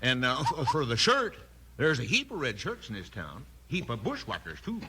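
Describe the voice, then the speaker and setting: An actor playing a bumbling, folksy sheriff with a halting Western drawl in a 1950s radio western. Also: male voice, mid-range voice, huge pitch swings. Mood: flustered, folksy. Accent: American Western drawl. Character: bumbling, slow-witted, folksy old-West sheriff. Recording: noisy 1950s radio recording.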